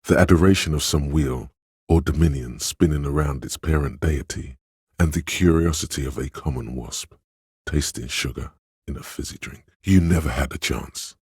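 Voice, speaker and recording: An extremely deep, husky inner voice from a detective game. It is sly and coaxing, placing each phrase with theatrical deliberation. The sound is gravelly and very dry, close and free of room. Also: male voice, normal speed, darkish tone, dry recording, exaggerated voice. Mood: grave, hushed. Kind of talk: scripted game voice-over narration.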